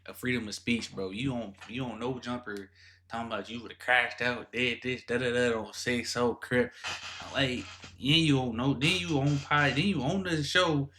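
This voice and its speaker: A man's voice: medium pitch, fairly even intonation, moderate pace, pushed and bright, a little hiss or hum. A man hosting a reaction video in casual, slangy, repetitive speech with an incredulous manner.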